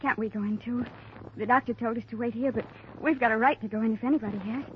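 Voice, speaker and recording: An actress playing an anxious, insistent daughter in an old radio drama. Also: female voice, fairly high pitch, fairly even intonation, fast, lightly acted. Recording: dull, noisy old radio recording.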